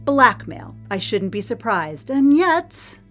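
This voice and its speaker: A woman's voice: on the high side, very animated, slow pace, fairly bright tone, soft background music, full character voice. A woman acting in an audio drama as a hard-edged, unflappable head of security who speaks in pointed, sardonic questions. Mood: hard, resentful.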